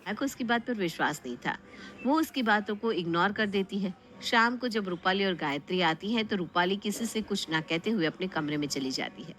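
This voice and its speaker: A woman's voice: mid-range voice, expressive, moderate pace, a bit bright. A woman storyteller reading a scripted family tale. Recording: clear background noise.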